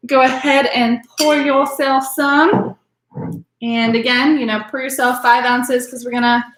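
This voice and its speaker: A woman's voice: fairly high pitch, fairly bright tone, reverberant, low-quality recording. Chatty woman teaching wine. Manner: chatty, filler-heavy, addresses attendees by name. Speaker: wine class instructor. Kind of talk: casual online class teaching.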